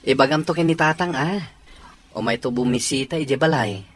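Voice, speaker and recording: An actor with an Ilocano Filipino accent playing an attentive, caring young husband in a radio drama. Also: male voice, mid-range voice, fairly quick, slight background noise, close to an everyday voice. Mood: friendly. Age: young adult.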